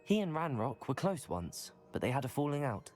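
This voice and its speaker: A man's voice: medium pitch, very animated, normal speed, darkish tone, a little hiss or hum, natural voice. A man playing an earnest, polite young student wizard in a video game, speaking courteously.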